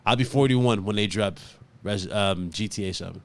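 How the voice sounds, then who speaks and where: A man's voice: lowish voice, normal speed, dry recording. A man around thirty co-hosting a casual gaming chat, laid-back and agreeable, with loose, rambling phrasing. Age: 30s.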